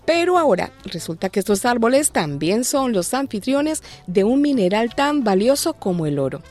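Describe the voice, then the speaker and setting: A woman's voice: a little high, very animated, moderate pace, darkish tone, faint music behind. A woman hosting a light news segment, reading scripted stories with playful, joking asides. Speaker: news show host. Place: lives in Australia.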